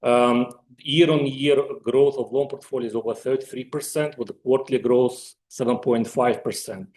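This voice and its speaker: A man's voice: medium pitch, fairly even intonation, moderate pace, a little mellow. A man speaking as a finance executive, presenting results on an earnings conference call.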